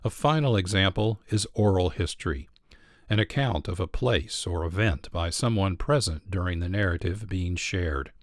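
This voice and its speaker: Low-voiced American male radio host. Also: moderate pace, no echo, studio quality. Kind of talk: scripted narration.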